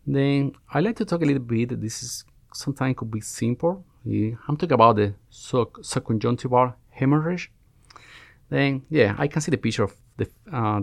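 A man in his forties with a Cuban Spanish accent, a resident doctor being interviewed on a podcast, who often pauses and restarts as he searches for words. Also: lowish voice, gravelly, no room sound, clean studio sound. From Cuba. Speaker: guest, family medicine resident.

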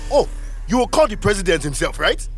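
An actor playing a proud, sarcastic, hot-tempered Nigerian village leader in an audio drama, arguing and mocking. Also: male voice, high voice, sing-song, fairly quick, fairly bright tone, slight background noise, exaggerated voice. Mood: sarcastic, indignant. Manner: mocking, argumentative.

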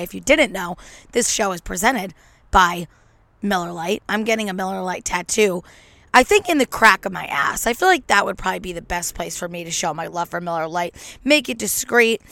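A slightly hoarse woman hosting a casual solo podcast from home, talking into a microphone with a brash, joking, rambling manner. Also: mid-range voice, fairly bright tone, dry recording. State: a little hoarse. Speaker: podcast host. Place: at home on a couch.

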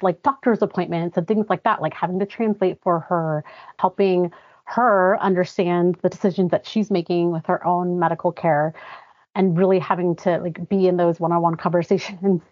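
Podcast guest, young woman from Guatemala, earnest and chatty, lowish voice, dry muffled sound. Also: no room sound. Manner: earnest, chatty, many fillers. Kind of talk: conversational interview. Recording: dry, slightly muffled audio.

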